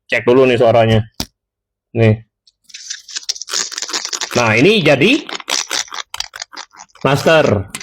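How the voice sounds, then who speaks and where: A man's voice: medium pitch, expressive, extremely slow, long pauses, a little hiss or hum, rough recording. A man giving a casual, chatty tutorial, talking straight to viewers and correcting himself as he goes. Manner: chatty, addresses viewers directly, self-corrects. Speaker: seller demonstrating intercoms.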